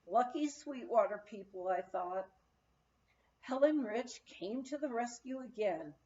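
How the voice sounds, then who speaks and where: A woman reads a memoir aloud at an unhurried pace, her fairly high voice rising and falling expressively. She leaves deliberate pauses as she tells the story. The recording is slightly dull and lo-fi. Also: lively intonation, warm tone. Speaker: audiobook reader. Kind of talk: scripted narration of a memoir, read aloud.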